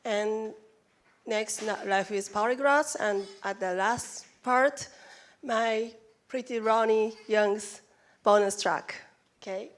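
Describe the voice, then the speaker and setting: Slow, careful Japanese-accented woman speaker. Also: medium pitch. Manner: halting, careful non-native phrasing with restarts. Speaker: conference speaker. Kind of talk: presentation talk. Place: conference room.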